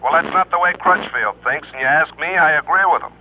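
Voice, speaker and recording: A man's voice: a little high, fast, slight background noise, natural voice. An American actor playing an urgent, insistent male insurance agent in a mid-century radio drama. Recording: tinny phone-like old radio sound.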